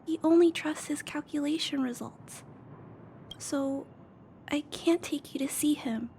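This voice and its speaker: A woman voicing a timid, polite, earnest young girl in a video game, soft-spoken and hesitant. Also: high voice, measured pace, slight background noise. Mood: worried, hesitant. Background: background music.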